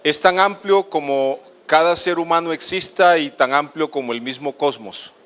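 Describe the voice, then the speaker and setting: A middle-aged man from the Colombian Caribbean coast, with a coastal accent mixed with Medellín's, giving a halting lecture to an auditorium with many fillers. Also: a little high, a bit bright. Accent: Colombian, coastal mixed with Medellín paisa. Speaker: speaker at a lecture. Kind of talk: lecture to an audience.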